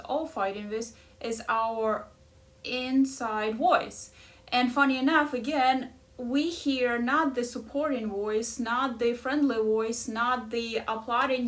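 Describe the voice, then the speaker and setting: A woman's voice: fairly high pitch, far from the mic. A middle-aged woman with a Ukrainian accent, discussing a book and coaching listeners in an encouraging way, often checking in with "right?". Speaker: life coach and podcast host.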